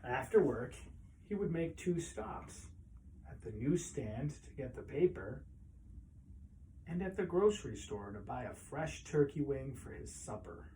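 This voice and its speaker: Male storyteller for a children's picture book read-aloud, mellow mid-pitched voice, expressive phrasing, some noise behind. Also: a little slow, low-quality recording.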